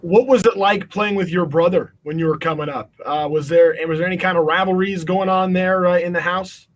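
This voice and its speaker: A man with a notably high-pitched voice hosts a casual question-and-answer session. He is encouraging and affirming, speaking informally as an interviewer. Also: fairly even intonation, a little fast. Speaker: event host, interviewer. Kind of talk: casual upbeat hosting, reading audience questions.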